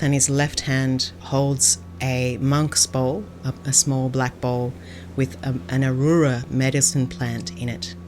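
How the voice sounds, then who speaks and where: Female meditation guide, calm and gentle, mid-low register, unhurried teaching delivery, noticeable ambient noise. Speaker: Buddhist nun, meditation teacher.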